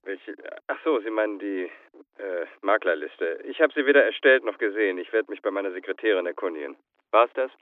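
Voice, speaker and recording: Heard down a thin phone line, a businessman in a radio drama. He talks fast in a lowish voice, clipped, formal and dismissive. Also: expressive. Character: curt, smooth-dodging businessman on the phone. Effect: telephone filter. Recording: thin, narrow-band telephone line.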